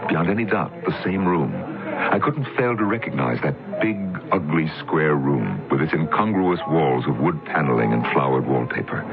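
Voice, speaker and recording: Physicist narrator, radio drama, lively delivery, heavy background music. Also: male voice, rumbling low, normal speed. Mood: wistful. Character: older man, physicist recalling his past. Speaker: narrator and lead character. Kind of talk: 1970s radio drama acting. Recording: tinny lo-fi radio broadcast with music.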